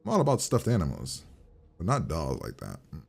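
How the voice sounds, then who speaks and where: A man's voice: very deep voice, wildly swooping pitch, a little fast, creaky, slightly rough. A man giving casual, profane reaction commentary in a blunt, slangy way. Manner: blunt, slangy, swears constantly. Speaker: host reacting to a TV episode.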